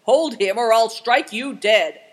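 A woman voicing an angry, suspicious man who shouts and growls accusations in an audiobook story. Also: a little high, unhurried, voice pushed up. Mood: shouting, furious. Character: angry, suspicious man, a blustering traveling stranger. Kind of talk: audiobook character voice.

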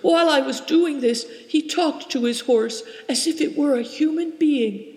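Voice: sits high, a little slow, darkish tone, voice pushed up. A reader voicing a frightened young herdswoman who stammers and sobs through her story, in a roomy space with long reverb. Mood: tearful. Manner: stammering, sobbing. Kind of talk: audiobook character reading.

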